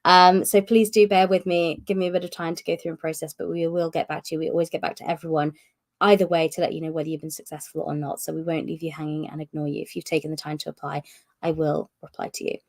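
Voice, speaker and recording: A woman hosts a casual live-stream update, talking in a loose, rambling way with plenty of fillers and restarts. Her voice is gravelly and a little strained from a recent cough. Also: low voice, little pitch movement, quick pace. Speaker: host of publisher's live stream.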